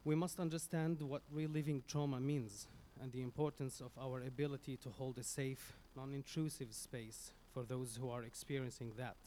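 A man from Syria with a Syrian Arabic accent, Arabic his first language, giving an earnest, halting public speech into a stage microphone as a community speaker. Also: medium pitch, fairly even intonation, warm tone, a little hiss or hum. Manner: earnest, halting, with many restarts.

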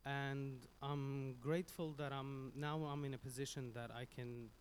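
A man from Syria with a Syrian Arabic accent, Arabic his first language, giving an earnest, halting public speech into a stage microphone as a community speaker. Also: medium pitch, level tone, slight background noise. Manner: earnest, halting, with many restarts.

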